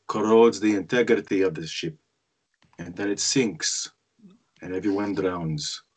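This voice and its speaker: A man playing a brooding, bitter character who narrates his dark thoughts in a husky voice, in a script read. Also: low voice. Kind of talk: dramatic voice-over monologue in a script read. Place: indoors on a group video call.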